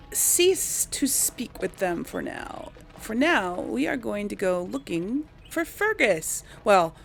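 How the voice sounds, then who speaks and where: A woman hosts a casual game playthrough in a creaky, lively voice. She takes her time, musing aloud and hesitating as she goes. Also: mid-range voice. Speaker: let's play host role-playing a game heroine. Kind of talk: casual gaming commentary with role-played dialogue.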